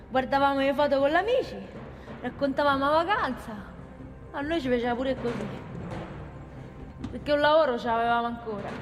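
A woman's voice: sits high, fairly bright tone, big-room sound. An actress playing a plain-spoken, desperate working-class woman with a Roman accent in a theatrical monologue. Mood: wistful, resigned. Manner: plain-spoken, ungrammatical, earthy. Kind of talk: theatrical monologue in colloquial dialect.

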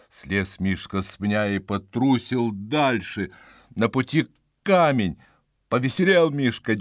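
Guy narrating a kids story, super lively intonation, theatrical and playful, dry close studio recording. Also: mid-range voice, no room sound. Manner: dramatic, playful, with shifting emphasis and pauses. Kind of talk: expressive scripted storytelling for children.

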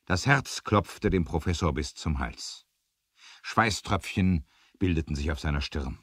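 A man's voice: low voice, expressive, unhurried, fairly bright tone, husky, no echo, clean studio sound. A man narrating an adventure radio play from a script in a dramatic storytelling manner.

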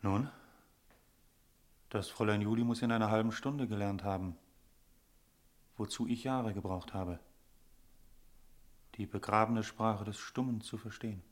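An actor playing a courteous, cryptic smuggler with formal speech in a radio drama. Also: male voice, on the low side, a little slow, long pauses, lightly acted. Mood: solemn, cryptic. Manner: formal, polite, enigmatic.

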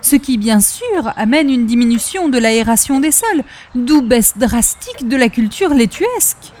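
Coquettish lady caller, very high voice, flowery theatrical delivery, earnest, old-time radio comedy. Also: big pitch swings, measured pace, darkish tone, slight background noise. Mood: dramatically earnest. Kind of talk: 1940s-style radio comedy acting.

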